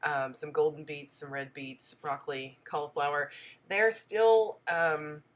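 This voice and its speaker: A woman's voice: low voice, steady tone, a little slow. A woman with a stuffy head cold narrating her homestead vlog in a chatty voice-over, full of ums. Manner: chatty, friendly, many ums. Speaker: homestead vlogger.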